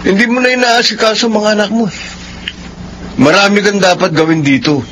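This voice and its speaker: Radio drama role: stern, betrayed Filipino husband, highish and sharp-edged, faint noise. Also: male voice, a little fast. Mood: reproachful.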